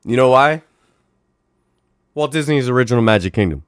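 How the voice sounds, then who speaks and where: Raspy-voiced man hosting a livestream. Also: medium pitch, normal speed, dry recording, studio quality. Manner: animated, playful, talks to chat viewers. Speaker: livestream host. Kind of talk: casual livestream chat. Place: home.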